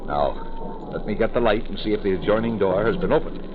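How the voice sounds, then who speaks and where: An actor playing a brusque, commanding ship's captain in 1940s radio style, wary and irritable, giving gruff, clipped orders. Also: male voice, on the low side, fairly quick, lightly acted. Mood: urgent, irritable. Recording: muffled, noisy 1940s radio recording.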